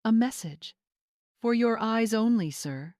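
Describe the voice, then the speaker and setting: A woman's voice: on the high side, animated, slow pace, darkish tone, no echo, clean studio sound, voice pushed up. A woman voicing a cool, professional male messenger in an audiobook, formal and precise. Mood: coolly formal.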